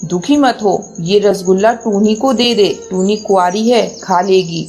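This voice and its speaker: A woman acts a motherly older pigeon in a children's cartoon. Her voice is middling in pitch, calm and reassuring. Faint music sits underneath. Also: unhurried, natural voice. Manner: soothing, reassuring.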